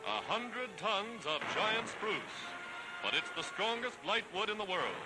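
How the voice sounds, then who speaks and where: A man's voice: high-pitched, very animated, unhurried, poor audio. A man narrates a wartime newsreel in a formal, declamatory announcer style, on a vintage 1940s film soundtrack. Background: loud orchestral newsreel music.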